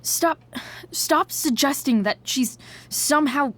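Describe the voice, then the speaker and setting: A woman playing a rebellious, uneasy teenage girl in a naturalistic video game drama, blunt and halting in her delivery. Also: high voice, a bit bright. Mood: defensive, exasperated.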